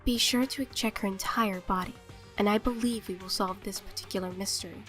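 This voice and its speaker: A woman voicing a cool, composed teenage girl detective in an anime fan dub, measured and matter-of-fact. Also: mid-range voice, fairly even intonation, a bit bright, soft background music, natural voice. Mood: composed, instructing.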